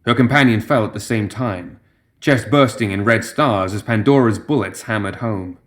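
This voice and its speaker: A man's voice: on the low side, moderate pace. A man reading a story as a narrator, in a scripted dramatic style. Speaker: audio fiction narrator.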